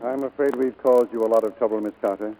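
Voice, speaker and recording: A man playing a commanding, formal US Navy admiral in a 1940s radio drama, speaking gravely and deliberately. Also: mid-range voice, steady tone, over loud music, close to an everyday voice. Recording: muffled vintage 1940s radio recording.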